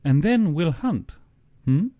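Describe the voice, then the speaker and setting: Storybook villain: male reader as a genteel, menacing general, pompous and over-polite, pitched up and swinging widely, unhurried. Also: very animated, warm tone, no room sound. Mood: courteous, eager. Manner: pompous, exaggeratedly courteous. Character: genteel, menacing aristocratic general who hunts men.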